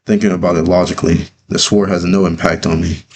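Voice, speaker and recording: A man reading his own story as a dramatic first-person narration, earnest, with deliberate pauses. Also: sits low, level tone, slight background noise.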